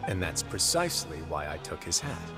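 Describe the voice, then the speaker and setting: Measured prison-warden duke, fairly low, composed, dryly playful, video game dialogue, music underneath. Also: male voice, sing-song, a bit bright, exaggerated voice. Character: composed, wry male duke and prison warden, fair-minded.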